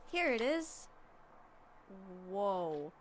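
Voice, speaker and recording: An actress playing a nosy, morbidly eager young true-crime obsessive in an audio drama, wry and insistent. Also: female voice, medium pitch, sing-song, extremely slow, a little hiss or hum. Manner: wry, insistent, theatrical emphasis. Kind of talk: live audio drama acting.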